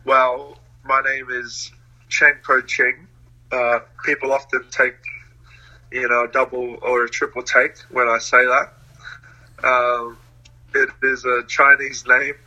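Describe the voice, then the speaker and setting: Bright-voiced young man, laughing easily. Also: mid-range voice, little pitch movement, takes it slowly, rough recording. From New Zealand. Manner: laughs often, hesitant with many fillers. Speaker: interview guest. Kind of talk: casual conversational interview.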